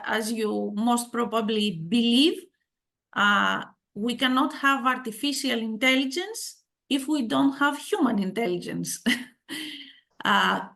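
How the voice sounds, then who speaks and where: Slow, hesitant Greek-accented woman. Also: on the high side, gravelly, a bit bright. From Greece. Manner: hesitant, many fillers, asks the audience questions. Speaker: school head of digital education giving a talk. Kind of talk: conference presentation to an audience.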